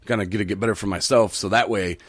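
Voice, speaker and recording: A man's voice: lowish voice, steady tone, fast, fairly bright tone, dry recording. A man co-hosting a casual talk about recovery, giving advice and playfully teasing his friends. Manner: teasing, playfully mock-bitter storyteller. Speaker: podcast co-host.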